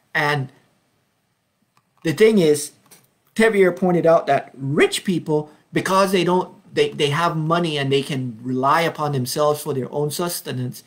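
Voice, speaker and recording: A man in his fifties from Belize leading an informal Bible study over a webcam at home, speaking earnestly and unhurriedly with frequent ums, slowing down to read verses. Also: on the high side, fairly bright tone. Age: 50s. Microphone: webcam, video call framing.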